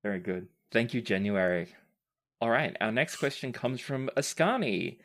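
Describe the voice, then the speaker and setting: Podcast host, game master, animated intonation, mid pitch, casual question-reading, playfully emphatic. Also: normal speed.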